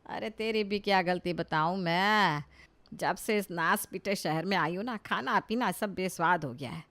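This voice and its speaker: A woman playing a nagging, sharp-tongued older village mother-in-law in a cartoon family comedy, scolding and sarcastic. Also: lowish voice, measured pace. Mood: disgusted complaining. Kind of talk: Hindi animated family comedy story acting.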